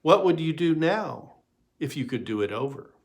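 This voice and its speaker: A man voicing a gentle, patient, reassuring church minister in a storybook reading, with a questioning manner. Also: fairly high pitch, animated, moderate pace. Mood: gently probing.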